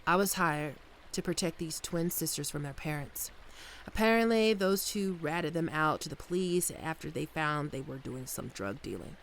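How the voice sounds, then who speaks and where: A woman's voice: deep voice, lively intonation, moderate pace, slight background noise. Woman acting a sweet, teasing bodyguard wife. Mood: wry, uneasy. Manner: soothing, playful, storytelling. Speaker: voice actor in an audio roleplay. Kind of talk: ASMR roleplay, intimate one-sided dialogue.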